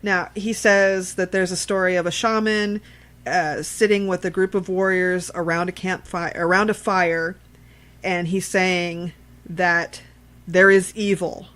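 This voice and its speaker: A woman's voice: lowish voice, flat delivery, a little slow, a bit bright. A woman co-hosting a podcast in casual conversation, blunt and salty-tongued, retelling things with animation and repeated phrases. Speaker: podcast co-host. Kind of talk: casual film discussion.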